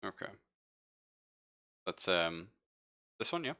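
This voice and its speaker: A man with a low, bright, forward voice works as game master. He describes scenes off the cuff in informal, halting phrases, thinking aloud as he goes. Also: big pitch swings, clean tone. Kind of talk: improvised narration of a tabletop roleplaying game.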